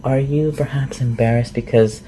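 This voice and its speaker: An awkward, inquisitive shapeshifter living as a forest recluse, acted out by a performer for a fantasy roleplay ASMR. The voice sits at a medium pitch and rambles through hesitant questions. Noticeable noise runs under the recording. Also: fairly even intonation, normal speed, mellow, natural voice. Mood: awkward, teasing. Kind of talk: roleplay ASMR audio acting. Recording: clearly noisy home recording.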